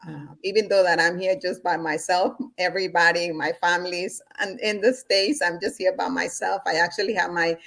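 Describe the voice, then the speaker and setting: A woman's voice: fairly low pitch, fairly even intonation, a little fast, gravelly, hardly any pauses, a bit bright. A middle-aged woman from Honduras, guesting on an interview show in a casual, upbeat way and laughing often as she talks about her work. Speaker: guest, holistic business teacher.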